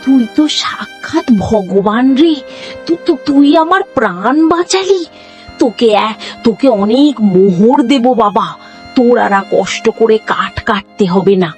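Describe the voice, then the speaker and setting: A woman's voice: on the high side, animated, darkish tone, soft background music. A woman voicing a muddled old beggar woman in a folk tale, stammering and repeating herself. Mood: grateful. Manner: stammering, halting, repetitive. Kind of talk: acted storytelling for a folk tale.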